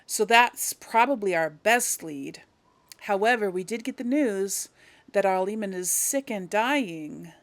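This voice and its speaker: A woman hosts a video game role-play in a casual, chatty way. She speaks straight to the viewers and thinks out loud as she goes. Her voice sits at an ordinary middle pitch for a woman. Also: expressive, a little slow, no room sound. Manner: chatty, thinking aloud, talks directly to viewers. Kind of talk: casual let's play commentary.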